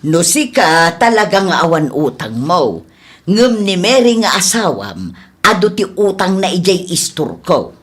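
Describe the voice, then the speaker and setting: Woman doing a stern older store lady in a radio comedy, lowish voice, insistent. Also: very animated, unhurried. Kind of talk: Ilocano comedy radio drama acting.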